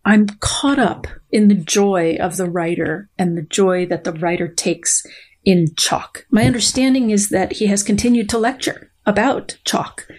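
A woman speaking as a podcast guest, measured and thoughtful as she reads and comments. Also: medium pitch, a little mellow. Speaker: guest biologist. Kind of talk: podcast conversation with dramatic readings.

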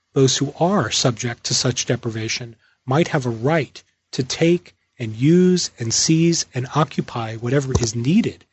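A man hosting an interview podcast, introducing the show and speaking with a guest in a measured, bookish way. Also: medium pitch, darkish tone, husky, no echo, lo-fi sound. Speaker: podcast host interviewing an author. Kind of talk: scripted introduction, then conversational interview.

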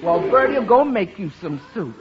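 An actress playing a shrewd, folksy housekeeper who speaks emphatically of herself by name in an old radio comedy. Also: female voice, medium pitch, sing-song, a little fast, a crowd in the background, close to an everyday voice. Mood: fussing, caring. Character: warm, folksy, shrewd woman housekeeper and cook. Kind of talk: 1950s radio comedy acting. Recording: dull old radio recording.

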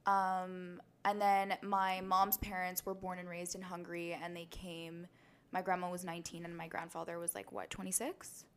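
A woman's voice: fairly low pitch, level tone, moderate pace, clean tone. A young Canadian woman co-hosting a podcast, talking in an eager, casual chat. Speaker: podcast co-host.

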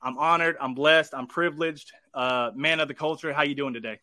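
A man's voice: on the high side, steady tone, a little fast, bright, forceful tone, no echo. A man hosting a casual podcast interview, rambling and restarting often, with casual swearing. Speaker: podcast host.

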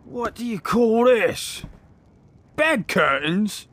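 Miserly old junk dealer, sly and chuckling, high and very animated, audio drama. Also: male voice, slow pace, dry recording, full character voice. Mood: sly, curious. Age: older. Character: wheezy, miserly old male junk dealer, slyly jocular.

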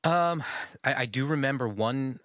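Animated male pastor, chatty storyteller, dry close-miked voice. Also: mid-range voice, moderate pace, no room sound. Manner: animated storytelling with frequent laughs. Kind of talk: casual conversation.

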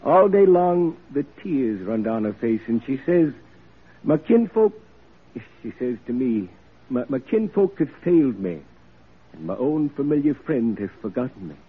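A man's voice: medium pitch, sing-song, measured pace, slightly rough, narrow, tinny audio. An actor playing an earnest, sorrowful man giving dramatic testimony in an old radio drama. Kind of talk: classic American radio drama acting.

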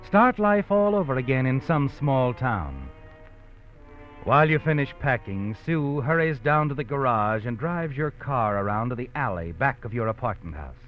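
Omniscient, needling suspense narrator, man acting with very animated pitch, classic radio delivery, music behind, muffled vintage sound. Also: moderate pace, lightly acted. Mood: knowing, taunting. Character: knowing, sardonic omniscient narrator taunting the protagonist. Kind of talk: old-time radio suspense narration in second person.

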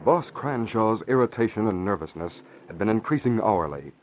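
A man narrating an old-time radio drama as announcer, in a measured, emphatic dramatic style. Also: on the low side, animated, music in the background. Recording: muffled old radio broadcast, background noise.

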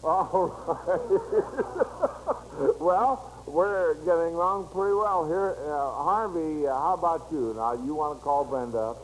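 A man's voice: a little high, unhurried. An American man hosting an old-time children's radio show in a hesitant, folksy, coaxing way. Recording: faintly noisy vintage radio recording.

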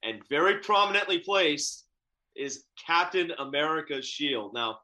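A man's voice: on the high side, lively intonation, measured pace, sharp tone, poor audio. A man co-hosting a podcast over a video call, eager and chatty, laughing often and restarting his sentences. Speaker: podcast co-host. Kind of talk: casual interview chat. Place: home office in the Bay Area.

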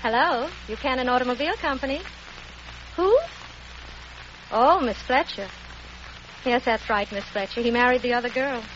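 An actress playing a cheerful receptionist on the phone in a 1940s radio promo, sing-song and upbeat. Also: female voice, on the high side, normal speed, long pauses, fairly bright tone, close to an everyday voice. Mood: chirpy. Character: chirpy woman receptionist on the phone. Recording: dull 1940s radio broadcast with hiss.

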